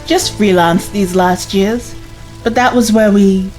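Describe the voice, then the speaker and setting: A woman playing a loyal, level-headed companion in an audio drama, gentle and matter-of-fact. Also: mid-range voice, slow, faint music behind, lightly acted, rough recording.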